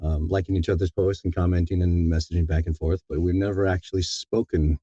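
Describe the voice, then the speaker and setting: A middle-aged man with a low, mellow voice talks casually as an interview guest. He is reflective and a little halting, often doubling back on words. The audio is dry and slightly dull. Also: fairly even intonation, slightly rough, no echo. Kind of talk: casual conversational storytelling.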